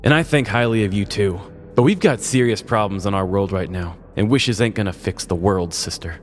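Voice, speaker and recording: A man's voice: on the low side, a little fast, big-room sound, soft background music, lightly acted. A man playing a jaded, skeptical, lazy police officer in a comedic story, blunt and dismissive. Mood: argumentative. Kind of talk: comedic podcast story acting.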